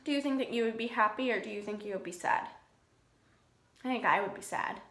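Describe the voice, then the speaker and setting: A woman's voice: fairly high pitch, brisk, a bit bright. A woman preschool teacher reading aloud to young children, talking simply and putting questions to them. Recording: echoey room.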